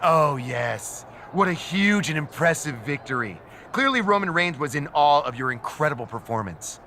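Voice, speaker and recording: Snide backstage antagonist for a game, forceful, slightly high, faint noise behind. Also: male voice, expressive, normal speed. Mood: sarcastic. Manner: sarcastic. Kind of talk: scripted video game cutscene acting.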